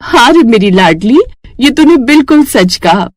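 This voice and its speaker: A woman voicing a gentle, determined potter's wife and mother in a children's story, earnest and affectionate. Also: a little high, animated, a little slow, no room sound, clean studio sound. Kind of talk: children's moral story acting.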